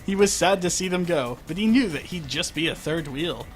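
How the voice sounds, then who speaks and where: Male livestream story reader, wry and prone to asides; lively, swooping pitch over quiet music. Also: brisk. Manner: wry, breaks off to comment on the text. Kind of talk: comic fan fiction reading on a livestream. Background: faint music.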